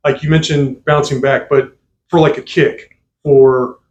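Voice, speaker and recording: A man's voice: little pitch movement, a little slow, a little mellow. Man asking loose, rambling sports questions. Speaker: sports interviewer. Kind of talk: casual sports interview. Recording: slightly dull recording with faint rumble.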